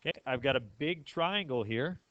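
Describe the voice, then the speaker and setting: A man's voice: mid-range voice, animated, normal speed, fairly bright tone, no echo, dull audio. A man teaching a math class step by step, often checking understanding with questions. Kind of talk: classroom lesson, explaining step by step.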